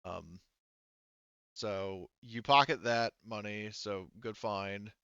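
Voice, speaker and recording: A man's voice: lowish voice, slow, fairly bright tone, clean tone, dry recording, lo-fi sound. A man acting as game master in a casual online tabletop session, rambling and thinking aloud as he narrates. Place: online voice call.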